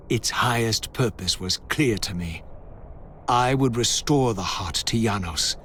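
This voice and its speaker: An actor playing a bitter, vengeful undead wraith in a video game, speaking in grand, formal, carefully enunciated monologue. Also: male voice, medium pitch, slight background noise, natural voice. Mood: vengeful, resolute. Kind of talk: dramatic scripted game narration and monologue. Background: loud dramatic game music.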